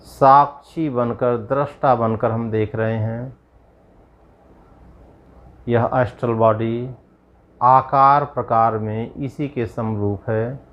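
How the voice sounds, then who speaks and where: A man guiding a yoga nidra meditation as a yogi, giving measured instructions in short, spaced phrases. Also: medium pitch, lo-fi sound. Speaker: yogi leading guided meditation.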